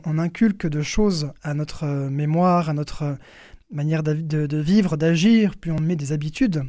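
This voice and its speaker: A man's voice: on the high side, mellow, no echo, clean studio sound. A young man, a priest being interviewed, explains things in hesitant phrases, repeating words as he searches for the next. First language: French. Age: young adult.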